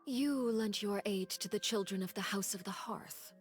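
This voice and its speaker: An actress playing a composed, calculating woman leader in a video game, speaking in a measured, formal way. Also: mid-range voice, soft background music, natural voice.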